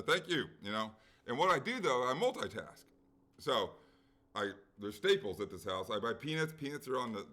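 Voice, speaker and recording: Animated man telling a long story. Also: mid-range voice. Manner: animated storyteller, acts out quoted people, swears freely. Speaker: podcast co-host. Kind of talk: casual chat, long anecdote.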